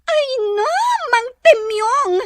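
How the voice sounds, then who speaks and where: A woman's voice: very high-pitched, takes it slowly, a bit bright, heavily acted. A woman playing a haughty, bossy young resort owner in an Ilocano audio drama, with an Ilocano accent. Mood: insistent.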